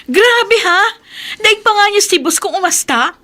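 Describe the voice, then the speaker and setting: An actress playing a snide, bossy, envious co-worker in a radio drama, scornful and nagging. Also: female voice, very high-pitched, animated, measured pace, bright, forceful tone, heavily acted. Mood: scheming. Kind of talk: Tagalog radio drama acting.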